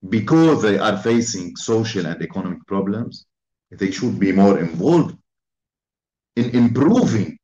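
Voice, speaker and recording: A man giving a formal conference talk, speaking in slow, emphatic phrases. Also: lowish voice, darkish tone, roomy sound, dull audio. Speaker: invited speaker.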